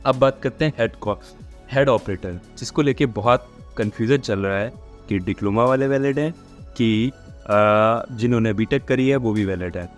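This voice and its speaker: A man's voice: mid-range voice, normal speed, soft background music. A man hosts an informal explainer for exam candidates, mixing in English words as he talks. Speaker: host of a job updates channel.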